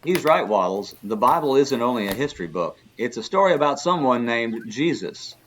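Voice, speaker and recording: A man's voice: mid-range voice, big pitch swings, moderate pace, fairly bright tone, slight background noise. A man playing a good-natured older history professor and adventurer who explains things in a children's radio drama. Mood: friendly explaining. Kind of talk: kids' radio drama acting.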